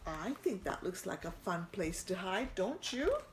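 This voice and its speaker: Slow, expressive woman reading a storybook. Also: on the low side, a little hiss or hum. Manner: expressive, asks listeners questions. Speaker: children's storyteller. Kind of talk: reading a storybook aloud to children. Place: church.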